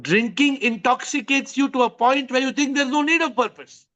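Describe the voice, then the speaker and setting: A man with a high voice hosts an online spiritual question session. He leads with repeated questions and short stories from daily life, speaking. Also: moderate pace, fairly bright tone. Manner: probing, repeats questions to lead the answer.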